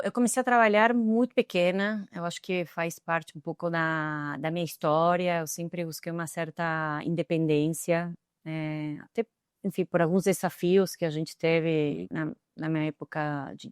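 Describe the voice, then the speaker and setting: Reflective middle-aged Argentine woman, smooth. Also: sits low, no echo, studio quality. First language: Spanish. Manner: thoughtful, with frequent hesitant fillers. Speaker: guest, tech executive. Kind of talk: reflective interview answers.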